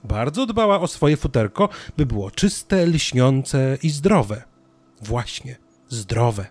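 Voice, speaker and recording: Animated male storyteller. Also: mid-range voice, takes it slowly, dry recording. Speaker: narrator. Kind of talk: scripted children's story narration.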